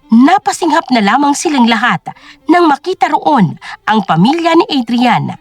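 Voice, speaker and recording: A woman's voice: high voice, no echo. A woman narrating a scripted drama story in a dramatic storytelling style.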